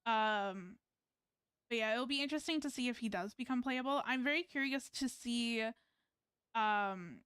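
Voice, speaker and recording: A woman's voice: fairly high pitch, moderate pace, fairly bright tone, smooth, dry recording, studio quality. A young woman from Canada streaming a game, chatting casually with viewers in a rambling, hesitant way full of fillers and restarts. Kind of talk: casual gameplay chat with viewers.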